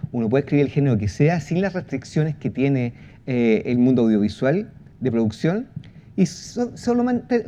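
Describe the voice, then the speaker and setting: A man explaining a project in a talk, halting, with false starts and drawn-out pauses. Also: fairly high pitch, warm tone. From Chile. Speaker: podcast creator giving a presentation. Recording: muffled audio with clear background noise.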